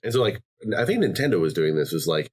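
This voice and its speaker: Animated, swearing podcast host. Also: male voice, sits low, fast talker, breathy edge. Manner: animated, profane, jumps into quoted voices. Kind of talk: casual chat.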